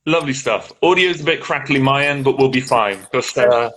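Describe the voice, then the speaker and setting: A man's voice: mid-range voice, a little fast, fairly bright tone. A man co-hosting a casual live-stream chat over a video call, easygoing and chatty, on slightly crackly audio. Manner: chatty, easygoing, British turns of phrase. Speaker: co-host.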